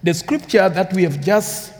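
Slow-preaching Ugandan man in a church. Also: high-pitched, expressive, darkish tone. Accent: Ugandan English. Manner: earnest, unhurried preaching with repeated phrases and rising emphasis. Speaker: guest preacher. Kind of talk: sermon. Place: church sanctuary with long reverb. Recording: reverberant church hall.

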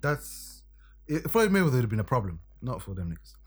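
Animated husky man. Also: mid-range voice, brisk. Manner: animated, emphatic, tag questions. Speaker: podcast co-host. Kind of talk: casual banter.

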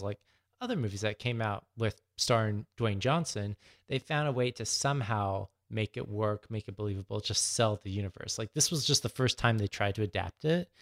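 A man's voice: on the low side, fairly bright tone. A man co-hosting a podcast in a casual film discussion, explaining things in a rambling way. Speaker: podcast co-host.

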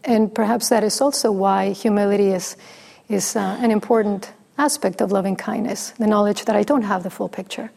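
Female dharma teacher, soft and pausing, a bit of a creaky edge. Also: medium pitch, steady tone. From Mexico. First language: Spanish. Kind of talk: dharma talk with storytelling.

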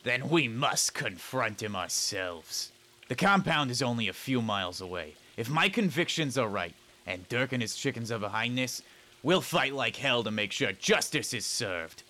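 A man playing a righteous chimp police detective in a comic noir audio drama, with dramatic, forceful delivery. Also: medium pitch, expressive, moderate pace. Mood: determined. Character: hard-boiled animal police detective, a chimp, righteous and theatrical.

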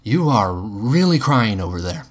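A man's voice: fairly low pitch, big pitch swings, measured pace, slight background noise. A man voicing a brash, foul-mouthed woman who mockingly barks orders as a mission handler in an audiobook. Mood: mocking, goading. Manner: mocking, commanding.

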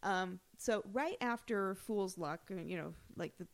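A woman with a medium-pitched voice chats casually as a podcast co-host. She is jokey and bawdy, and happily drifts into side stories as she talks. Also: a little slow, creaky. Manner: bawdy, joking, tangent-prone. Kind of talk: casual chat about a TV episode.

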